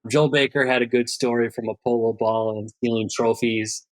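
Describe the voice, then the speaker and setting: A man's voice: medium pitch, level tone, moderate pace. A man hosting an interview podcast, speaking as a presenter introducing and talking with a guest. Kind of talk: scripted introduction, then conversational interview.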